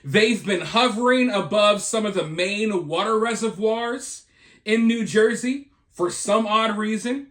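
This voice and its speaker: A man's voice: sits high, a little slow, fairly bright tone. A man hosting an opinionated news commentary, speaking emphatically with repeated points and rhetorical questions. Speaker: video host and commentator.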